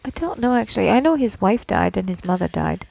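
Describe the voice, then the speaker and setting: Creaky-voiced woman chatting on a podcast. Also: mid-range voice, very animated, fairly quick, a little mellow, poor audio. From Slovakia. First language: Slovak. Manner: chatty, explanatory, checks in with listener. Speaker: podcast co-host. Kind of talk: casual conversational podcast chat.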